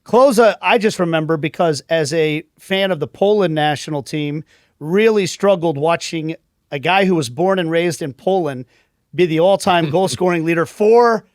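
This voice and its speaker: A man hosting a podcast who runs a trivia quiz in a bright, upbeat way. His voice sits fairly high. The recording is dry and close-miked. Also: normal speed, clear, resonant tone, dry recording. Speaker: podcast host. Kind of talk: casual trivia quiz and show intro.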